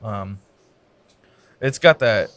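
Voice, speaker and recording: A man's voice: fairly low pitch, lively intonation, extremely slow, a bit bright, clean tone, no room sound. A man co-hosting a casual podcast from a cigar lounge, wisecracking and teasing. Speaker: podcast co-host. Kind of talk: casual chat.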